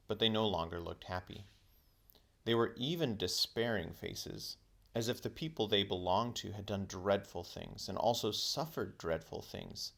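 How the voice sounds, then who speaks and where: A man reading a children's book aloud as a teacher would, in expressive storybook narration. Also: sits low, gravelly.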